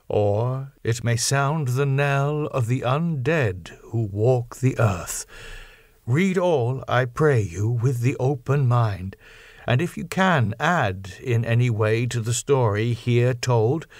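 A man voicing a grave, fervent old foreign professor who speaks solemn, halting, slightly broken English in an audiobook. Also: medium pitch, darkish tone, dry recording, studio quality. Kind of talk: audiobook character voice.

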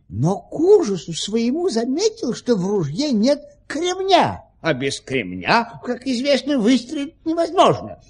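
An actor playing a forgetful, fawning marquis who tells stories in a dithering, stammering, effusive theatrical manner. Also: male voice, high voice, breathy edge, heavily acted. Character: flustered, forgetful, fawning marquis, an eager storyteller. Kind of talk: theatrical radio play acting.

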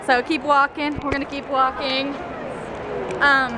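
A young American woman vlogging in a loud, rambling, informal way as she walks and chats to a handheld camera. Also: high-pitched, little pitch movement, a little slow. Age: young adult. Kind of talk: casual chat to camera while walking. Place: large indoor shopping mall, walking. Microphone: handheld camera at arm's length. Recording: noisy, busy shopping mall crowd.

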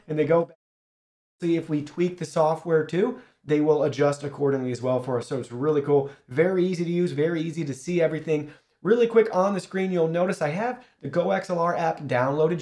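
A man's voice: fairly high pitch, darkish tone. A man in his thirties giving an upbeat, casual product walkthrough, explaining directly to viewers, recorded on a shotgun studio microphone. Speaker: product reviewer and host. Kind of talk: casual product overview and software tutorial. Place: small indoor studio. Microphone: shotgun studio microphone through an audio mixer.

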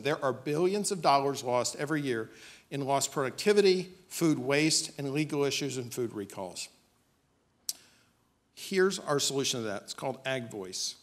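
A man giving a business pitch presentation as a startup presenter, speaking on stage into a podium microphone. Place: on stage in a dim auditorium.

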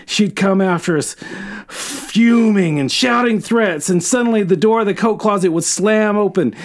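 Higher-voiced man on a book podcast. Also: moderate pace. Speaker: podcast co-host. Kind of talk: casual retelling and dramatic book reading.

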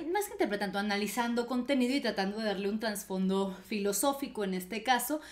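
Young Venezuelan woman, soft accent, mid pitch, reflective and chatty host at a home desk mic. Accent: Venezuelan, softened. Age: young adult. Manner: thoughtful, explanatory, with many fillers. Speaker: podcast host. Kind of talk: casual reaction commentary. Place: small bedroom streaming setup.

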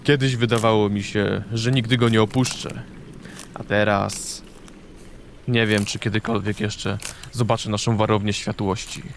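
A weary draenei warrior, low and creaky, homesick yet resolute. The delivery is fantasy audio drama, over a faintly noisy background. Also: male voice, level tone, normal speed. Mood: wistful.

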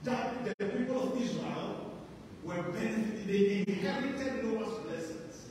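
A high-pitched, middle-aged pastor born in Africa preaches in a large church hall. His voice rings with long echo and sounds far from the mic, and he lilts some lines as he calls out for amens. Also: lively intonation, unhurried, noisy background. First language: an African language. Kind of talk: sermon. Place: large church hall, stage with lit backdrop. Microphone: handheld mic, recorded from afar.

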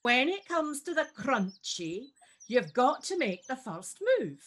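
A woman's voice: a little high, big pitch swings, measured pace. A woman playing a friendly, cheeky village gossip in an amateur radio pantomime.

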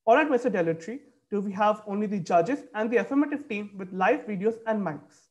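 A man's voice: high voice, expressive, brisk. A young man moderating a debate on a video call, reading formal announcements from a script. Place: indoor room, video call.

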